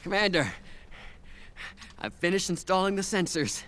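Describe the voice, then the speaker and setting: A man's voice: sits high, expressive, moderate pace. An actor voicing a dutiful young male soldier reporting to a superior in a video game cutscene, over a noisy background.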